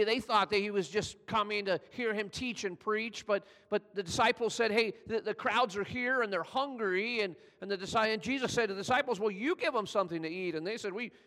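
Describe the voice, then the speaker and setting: An older pastor from California preaching a Sunday sermon. His voice sits a little high and has a gravelly fry to it. His words ring out with a long tail of reverb from the hall. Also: fairly bright tone. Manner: conversational preaching, builds in pitch and volume as he tells the story.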